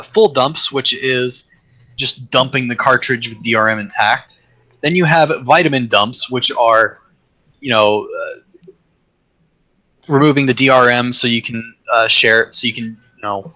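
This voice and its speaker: A man chatting as a guest on a tech podcast, rambling with restarts, his voice getting raspy. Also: medium pitch, bright, forceful tone, low-quality recording. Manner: rambling, many restarts and fillers. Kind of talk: casual tech chat.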